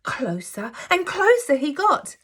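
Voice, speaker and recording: A woman reads a picture book to small children. Her voice sits fairly high and swings widely in pitch. She takes her time, with a slow, measured pace and expressive phrasing. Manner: measured, animated delivery for young children. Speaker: infant school staff member reading to pupils. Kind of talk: expressive children's storybook reading.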